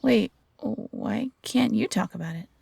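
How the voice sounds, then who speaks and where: A woman's voice: mid-range voice, measured pace, gravelly, warm tone, slightly rough, dry recording, full character voice. A woman playing a loyal, feisty young fox hybrid, earnest and protective, in a fantasy audio roleplay. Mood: wary. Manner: earnest, defiant, pleading.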